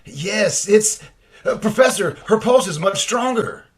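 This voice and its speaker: A man playing an eager, deferential scientist's assistant in old-time radio drama style, excitable and a bit hesitant. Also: high-pitched, measured pace. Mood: excited.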